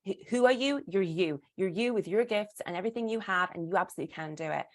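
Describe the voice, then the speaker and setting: A woman with a British accent talks in an animated, conversational way as a guest. She makes her points emphatically and often slips into little imagined exchanges to illustrate them. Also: medium pitch, fast. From Britain. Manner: emphatic, acts out imagined dialogue. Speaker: guest, performer and burlesque teacher. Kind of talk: animated conversational interview talk.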